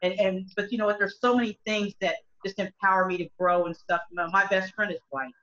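A woman's voice: medium pitch, fairly even intonation, fairly quick, fairly bright tone. A middle-aged woman, a country singer, talking earnestly on a video call from home, with many restarts. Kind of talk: casual video call discussion. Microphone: laptop webcam mic on a video call. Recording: dull lo-fi video call audio.

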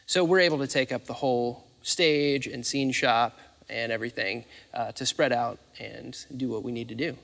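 A man's voice: medium pitch, steady tone, moderate pace, gravelly, a bit bright. An American man in his thirties explaining arrangements in an interview, conversational and matter-of-fact. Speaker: theatre facilities manager, interviewee. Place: large theatre stage, indoors. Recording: reverberant empty theatre stage.

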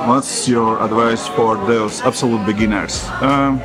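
A man in his forties with a Latvian accent, answering questions casually in a matter-of-fact way with halting non-native phrasing. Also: mid-range voice, fairly even intonation, music in the background. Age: 40s. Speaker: vlogger and iOS developer answering viewer questions. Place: various travel locations, mostly outdoors, some stone halls and a cafe. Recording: clear ambient noise on location.

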